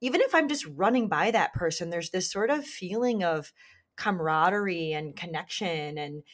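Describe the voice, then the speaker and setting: Earnest woman with a lowish voice. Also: expressive, moderate pace. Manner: earnest, reflective, many fillers. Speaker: podcast co-host and community leader. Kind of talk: casual conversational interview.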